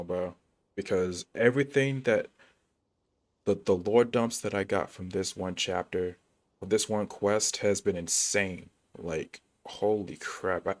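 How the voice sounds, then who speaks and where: A man giving casual, slangy livestream commentary on a game, stammering and repeating himself in disbelief. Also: deep voice.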